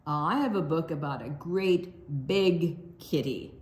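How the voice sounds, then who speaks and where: A woman reading a picture book aloud to young children, expressively, drawing out words and slipping into hushed asides. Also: low voice, slow. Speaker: children's storytime reader.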